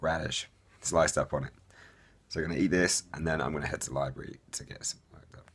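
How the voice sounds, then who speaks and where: A young man with a hoarse, fading voice, casually narrating his gym workout as a fitness vlogger, explaining with a relaxed, slightly rambling manner. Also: extremely low pitch, quick pace.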